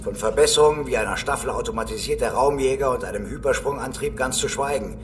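An actor in a German audio drama playing a decisive, composed leader of space sheriffs, in a commanding manner. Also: male voice, mid-range voice, fast talker, natural voice. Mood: wry, serious. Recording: music behind the voice.

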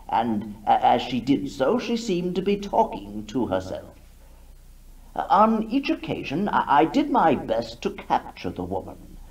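A man playing a halting, self-important country squire giving evidence in a radio drama, stammering as he goes. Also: a little high, darkish tone, far from the mic, lo-fi sound, exaggerated voice. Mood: halting, earnest. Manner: hesitant, stammering. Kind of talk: 1960s radio drama acting.

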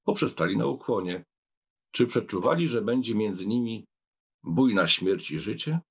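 A man narrating a literary audiobook in an expressive, measured storytelling manner. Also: lowish voice, husky.

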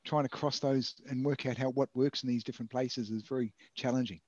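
Fast-talking older man, dull call audio. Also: mid-range voice, fairly even intonation, darkish tone, no room sound. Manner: enthusiastic, repeats words. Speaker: moderator. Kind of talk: casual chat. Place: home room with bookshelves. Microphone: headset on video call.

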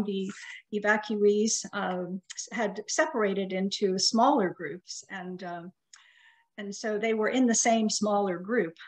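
A middle-aged woman whose first language is Russian delivers a prepared lecture in a measured, careful manner. Her voice sits at an ordinary middle pitch, and her phrases tend to trail off softly at the ends. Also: low-quality recording. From United States.

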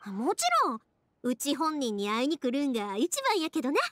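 Friendly young woman fireworks maker, anime game, Kansai accent, high and expressive swings. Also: moderate pace, a little hiss or hum. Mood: warm, cheerful. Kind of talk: Japanese anime game voice acting. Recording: game dialogue over music.

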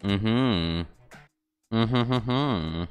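A young man casually talking to himself while streaming a video game, rambling and joking, with high yelps in fights. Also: drawn-out words, soft background music. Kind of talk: casual gaming stream commentary.

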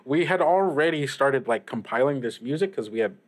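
A man plays a friendly young male radio DJ hosting a casual call-in show. He rambles in a halting, chuckly way, at an everyday middle pitch. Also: animated, natural voice. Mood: wistful, casual. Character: friendly young small-station radio DJ named Lil Harry. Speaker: radio host.

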